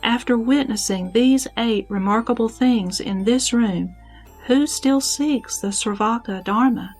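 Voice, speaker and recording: A woman playing a serene, wise heavenly goddess in a scripted sutra audio drama, teaching in a measured, declamatory way. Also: on the high side, darkish tone. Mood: calm, instructive. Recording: background music under the voice.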